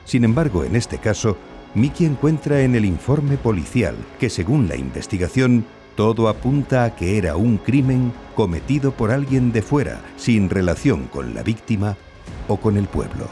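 A man playing a true-crime podcast narrator, delivering measured, suspenseful scripted documentary narration. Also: lowish voice, very animated, mellow, soft background music. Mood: measured, intriguing.

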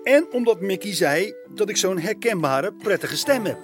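A man's voice: high-pitched, expressive, moderate pace, music in the background. An actor playing a self-satisfied, pedantic, long-serving male radio staffer in a Dutch radio comedy, earnest in manner.

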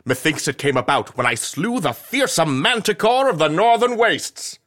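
An actor playing a vain, pompous knight who is easily indignant and speaks in grandiose mock-archaic language in a comedic audio drama. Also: male voice, a little high, sing-song, normal speed, fairly bright tone. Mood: boastful, grand.

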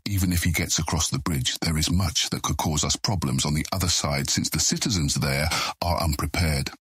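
A man playing a grave, authoritative guild deputy in an audiobook drama, with a deep, husky voice and measured, formal speech. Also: a bit bright, no room sound, clean studio sound, exaggerated voice.

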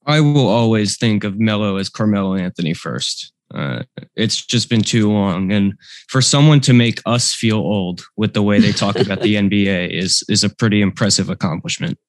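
A man's voice: lowish voice, moderate pace, no room sound. An eighteen-year-old man co-hosting a podcast, giving firm opinions in casual chat. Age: late teens. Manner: opinionated, firm stance-taking. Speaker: podcast co-host.

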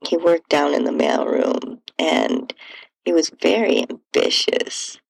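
Husky, creaky-voiced woman narrating slowly. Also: low-pitched, huge pitch swings, dry recording, low-quality recording. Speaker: podcast host and narrator. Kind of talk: intimate first-person audiobook-style story narration.

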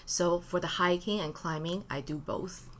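Woman, low voice, English, relaxed guest in studio. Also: normal speed, slight background noise. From Taiwan. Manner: friendly, casual, uses fillers and non-native grammar. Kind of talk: studio interview answers. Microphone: studio mic.

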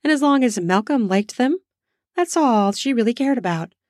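A woman narrating an erotic audio story in a slow, sensual way, lingering on words for emphasis. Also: fairly high pitch, lively intonation, a little mellow, dry recording, studio quality. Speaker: storyteller, podcast host.

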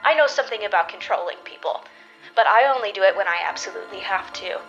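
A woman's voice: medium pitch, animated, fast talker, vocal fry, fairly bright tone, lo-fi sound, faint music behind. A woman playing an indignant, principled superheroine, emphatic and confrontational, in an audio drama. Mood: angry, resolute.

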